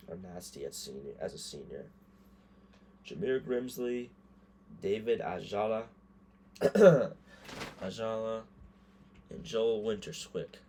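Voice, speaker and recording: A hoarse man giving casual gameplay commentary, thinking aloud and muttering quick verdicts as he goes. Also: deep voice, dark tone, slight background noise. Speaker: gaming creator.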